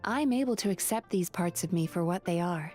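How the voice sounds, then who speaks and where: An actress playing a composed, earnest young woman therapist in an anime video game, calm, polite and reflective. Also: lowish voice, brisk, faint music behind, close to an everyday voice.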